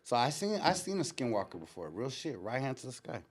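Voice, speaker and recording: A man hosting a casual live show, retelling stories in an animated way with street slang and frequent swearing. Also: mid-range voice, a little fast, husky. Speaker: show host. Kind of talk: casual live storytelling and chat.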